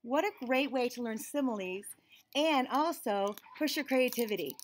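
A woman's voice: on the high side, moderate pace, a little hiss or hum. An American woman in her forties reads a picture book aloud to young children, expressively, with friendly teaching asides. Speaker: read-aloud host and teacher. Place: small indoor room with shelves and cabinets.

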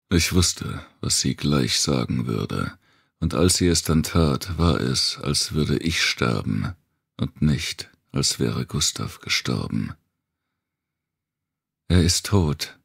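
Male audiobook narrator, extremely low register, gravelly and husky, slow deliberate delivery for dark fiction. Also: no room sound, clean studio sound. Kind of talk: dramatic first-person audiobook narration of post-apocalyptic fiction.

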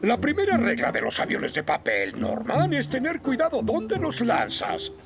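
A stern, pompous older fire chief from a Latin American Spanish cartoon. His voice is high and creaky, swinging widely in pitch. He blusters through his orders over loud music. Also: wildly swooping pitch, normal speed, heavily acted. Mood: authoritative, musing. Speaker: fire station officer. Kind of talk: animated children's series dub.

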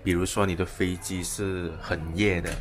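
A man's voice: sits low, flat delivery, moderate pace. A man casually walking viewers through a hotel, chatting and pointing things out as he films with a handheld camera. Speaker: travel vlogger. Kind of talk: casual hotel tour narration. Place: hotel lobby and rooftop, walking. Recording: noisy handheld camera audio.

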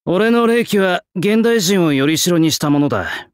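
An actor playing a gruff swordsmith, an old soul in a young man's body, with blunt old-fashioned artisan speech in a game. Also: high voice, lively intonation, normal speed, no echo, clean studio sound. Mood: gruff, reflective. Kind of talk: Japanese game character voice lines.

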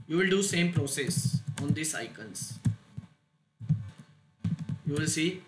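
A man giving a step-by-step software tutorial in short, halting phrases with non-native phrasing. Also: fairly high pitch, animated. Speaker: tutorial instructor. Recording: roomy echo, loud noise, clicks.

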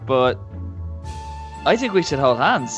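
A performer voicing a gentle, calm young man comforting his girlfriend, in a soothing, playful way. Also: mid-range voice, slow, music in the background. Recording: dull muffled recording.

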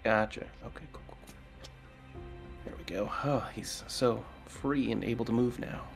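A man's voice: medium pitch, steady tone, normal speed, gravelly, faint music behind. A man playing a tabletop game, talking casually with the other players.

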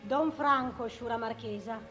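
An actress playing an inquisitive maid with a Lombard accent in a 1950s Italian television period drama. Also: female voice, a little high, measured pace, sharp tone. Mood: dutiful. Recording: dull, echoey, distant old TV soundtrack, music behind.

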